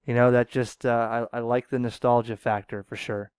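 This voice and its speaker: A man's voice: medium pitch, little pitch movement, normal speed, very noisy. A man hosting his ham radio channel, talking casually and rambling as he tunes the dial, correcting himself as he goes. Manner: rambling, self-correcting, chuckles often. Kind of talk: casual radio demo talk.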